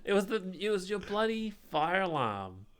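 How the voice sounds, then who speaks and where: A young man co-hosting a podcast, chatting and telling stories informally, haltingly, often checking in with a questioning tag. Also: high voice, big pitch swings, fairly bright tone, clear, resonant tone. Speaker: podcast co-host. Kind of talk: casual chat, storytelling.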